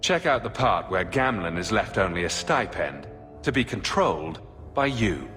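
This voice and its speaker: A man's voice: lowish voice, very animated, normal speed, sharp tone. A man playing a firm, dryly sardonic young elder brother in a video game, terse and protective. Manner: dry, terse, assertive. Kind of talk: dramatic video game acting. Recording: long reverb with background music.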